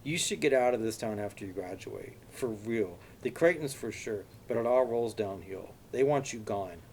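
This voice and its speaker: A man's voice: fairly low pitch, lively intonation, moderate pace, darkish tone, slight background noise. A man voicing a worried young woman who confides warnings to her friends. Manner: earnest, anxious, confiding.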